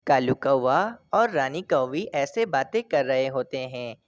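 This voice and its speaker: Woman narrator, low pitch, very animated intonation, scripted children's story. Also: measured pace.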